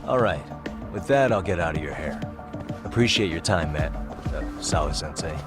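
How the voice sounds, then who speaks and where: A man's voice: low-pitched, lively intonation, normal speed, music in the background. An actor playing a composed, wry male investigator in a video game, with a casual, dry, self-assured delivery. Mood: probing. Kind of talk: video game dramatic acting.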